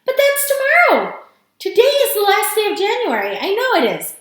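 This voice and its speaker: Woman as worried mother, storybook, caring, coaxing, high and animated, very echoey. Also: moderate pace. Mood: alarmed surprise. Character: anxious, caring mother and wife. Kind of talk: storybook character reading. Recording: strongly echoing room.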